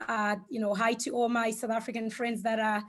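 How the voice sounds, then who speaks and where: A woman whose first language is Shona, giving an online talk over a video call, with frequent fillers and gentle hesitations. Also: a little high, little pitch movement, fairly quick. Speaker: guest speaker.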